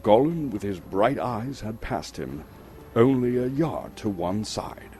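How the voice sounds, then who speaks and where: A man giving a dramatic, suspenseful reading of a story, theatrical and full of deliberate pauses. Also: lowish voice, expressive, dark tone. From Canada. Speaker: storyteller, actor reading an audiobook chapter. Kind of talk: dramatic scripted narration. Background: music under the voice.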